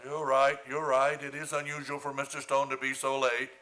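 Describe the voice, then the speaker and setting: An actor playing a blustering, pompous middle-aged school principal in a comic radio play. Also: male voice, mid-range voice, completely flat delivery, normal speed, bright, forceful tone, faint music behind, natural voice. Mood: fussy.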